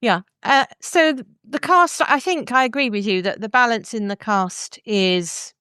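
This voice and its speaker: A woman hosting a conversational review, talking in a measured, thoughtful way. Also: on the high side, bright, forceful tone, clear, resonant tone, no room sound, clean studio sound. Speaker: podcast host.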